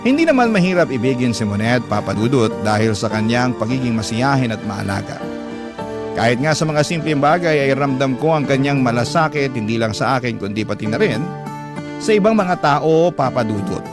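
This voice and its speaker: A man's voice: medium pitch, lively intonation, brisk. A man giving scripted first-person narration of a story for a radio drama. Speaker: drama narrator reading a letter. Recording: prominent music bed under narration.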